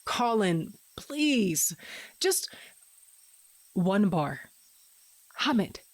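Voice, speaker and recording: A woman's voice: medium pitch, sing-song, extremely slow, no echo, heavily acted. A woman acting in an audio drama as an encouraging, pleading wife. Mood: gently coaxing. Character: Naya, wife and former writer, coaxing her stubborn husband.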